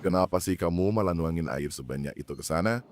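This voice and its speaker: A man's voice: low-pitched, quick pace, slight background noise, lightly acted. A man voicing a bossy, mischievous caterpillar troublemaker in a children's cartoon dub, with a pushy, sneering manner. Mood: irritated.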